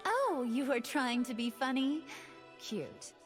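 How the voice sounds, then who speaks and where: Video game fox woman, centuries old,: higher register, very expressive pitch, teasing yet tender. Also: takes it slowly, heavily acted. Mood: playful, teasing. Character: ancient nine-tailed fox woman, playful yet wistful. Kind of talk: scripted video game voice lines. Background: music.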